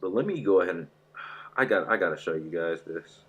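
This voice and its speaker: A man streaming live about a fighting game, rambling and excitable, sounding stuffy from a sore throat. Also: low-pitched, brisk. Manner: excitable, rambling, says "bro" a lot. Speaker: gaming streamer.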